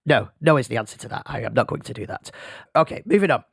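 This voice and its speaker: A man's voice: mid-range voice, animated, quick pace, creaky, slightly rough, no echo, studio quality. A man who is losing his voice, hosting a casual solo podcast in a self-deprecating, rambling way. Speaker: podcast host. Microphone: podcast microphone.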